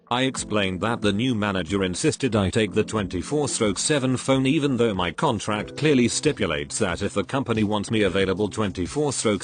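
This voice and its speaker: A man reading a scripted first-person story as a narrator for online listeners. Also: fairly low pitch. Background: background music.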